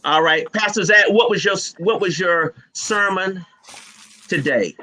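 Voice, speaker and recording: A man hosting a live online panel of pastors, jovial and teasing as he asks quick questions over a video call. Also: sits high, sharp tone. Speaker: host and moderator.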